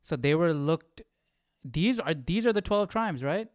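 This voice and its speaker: A man's voice: a little high, expressive, measured pace, no echo, clean studio sound. A man hosting a Bible study livestream, chatting casually and thinking aloud in an informal, questioning way.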